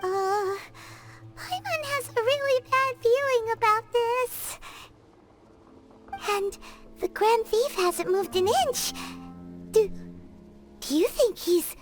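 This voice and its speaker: Squeaky, tiny excitable fairy companion. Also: female voice, takes it slowly, long pauses. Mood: frightened. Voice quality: squeaky, childlike. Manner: refers to self in third person, hesitant and expressive. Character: tiny excitable childlike female fairy companion, easily spooked. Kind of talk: animated video game acting. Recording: game music behind the voice. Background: orchestral game music.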